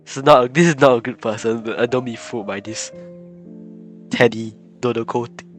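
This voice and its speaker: Male streamer, mid-pitched, casual and rambling live commentary, faint game music behind. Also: fairly even intonation, brisk. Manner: informal, rambling, chatty.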